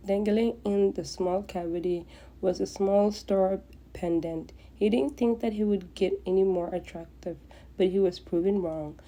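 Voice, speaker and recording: A woman's voice: fairly low pitch, warm tone. A woman narrating a piece of romantic fan fiction as a podfic reader.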